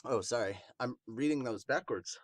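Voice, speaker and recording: A man's voice: mid-range voice, moderate pace, dry recording, studio quality. A man hosting a manga podcast in casual chat, with congested sinuses. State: congested sinuses, sniffing and throat clearing. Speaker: podcast host reviewing manga.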